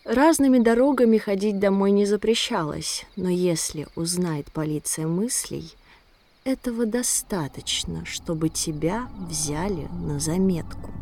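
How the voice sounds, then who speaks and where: Slow, mellow female narrator. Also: fairly low pitch, a little hiss or hum. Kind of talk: scripted dramatic narration.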